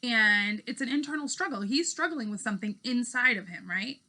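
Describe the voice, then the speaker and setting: Bright-voiced woman teaching. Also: on the high side, lively intonation, brisk. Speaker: teacher reading aloud to fifth graders. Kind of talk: read-aloud lesson, storybook narration and informal explaining.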